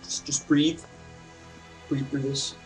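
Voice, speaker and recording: A man's voice: on the high side, flat delivery, slow. A man giving casual, informal let's play commentary with reactive asides. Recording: slightly distant mic, game music behind.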